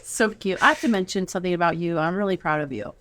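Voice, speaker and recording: A woman's voice: fairly low pitch, quick pace, a bit bright, no echo. A middle-aged woman hosting a casual, heartfelt studio interview in an encouraging, affirming way. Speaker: show host and mother of the guests.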